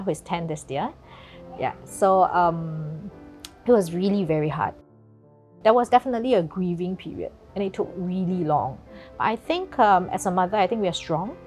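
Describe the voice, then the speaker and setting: Soft-spoken woman in her forties. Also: fairly low pitch. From Singapore. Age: 40s. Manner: soft, hesitant, many pauses and fillers. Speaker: mother sharing her story. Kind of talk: personal testimony.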